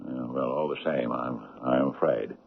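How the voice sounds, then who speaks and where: A man's voice: rumbling low, steady tone, normal speed, gravelly, husky, exaggerated voice. A man playing a haggard, guilt-ridden older father in a 1970s radio drama, faltering and hesitant. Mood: frightened. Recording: muffled, noisy old radio broadcast.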